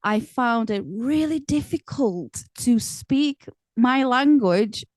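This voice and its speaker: A middle-aged woman whose first language is Portuguese, hosting a podcast and chatting in a relaxed, conversational way. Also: a little high, slow, no room sound. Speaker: podcast host. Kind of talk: casual podcast chat.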